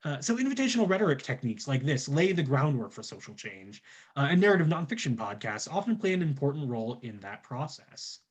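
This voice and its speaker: A man gives a scripted research presentation as an academic. His voice is somewhat rough and husky, and it moves animatedly up and down around an average male pitch. The wording is formal and scholarly. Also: big pitch swings, brisk.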